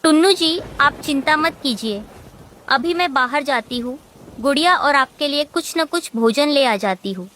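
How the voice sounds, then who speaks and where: Woman voicing a high-pitched anxious mother bird. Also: animated, normal speed, bright, forceful tone, rough recording. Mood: reassuring. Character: devoted, anxious mother bird, caring wife. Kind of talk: Hindi cartoon voice acting.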